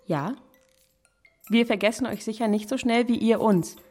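Husky, earnest gentlewoman played by an actress. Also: fairly high pitch, normal speed, soft background music, natural voice. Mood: earnest, moved. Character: Anne, mature, reflective, quietly feeling gentlewoman. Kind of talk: German radio play acting, period literary drama.

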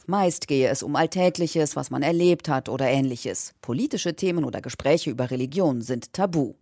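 A radio reporter narrates a scripted feature. The voice sits at a medium pitch. The recording is very dry, with no room around it. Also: moderate pace, warm tone, dry recording.

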